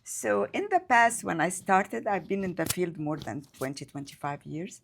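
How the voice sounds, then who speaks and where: A woman speaks in a low voice. She answers as a psychiatrist guest. Her English is hesitant, full of fillers and slips in grammar. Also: lively intonation, moderate pace, gravelly, slight background noise. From Egypt. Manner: hesitant, many fillers, non-native grammar. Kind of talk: conversational interview answers.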